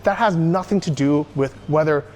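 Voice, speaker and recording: A man's voice: fairly high pitch, moderate pace, darkish tone. American guy ranting in a vlog, emphatic, asks his own questions then answers them, faint noise behind. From United States. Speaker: YouTuber. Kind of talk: opinionated solo vlog monologue.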